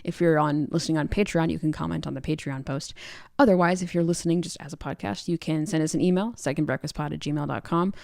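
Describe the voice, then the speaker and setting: A woman's voice: sits low, steady tone, quick pace, creaky, darkish tone, dry recording, studio quality. A woman hosting a casual podcast interview in an enthusiastic, supportive and effusive manner.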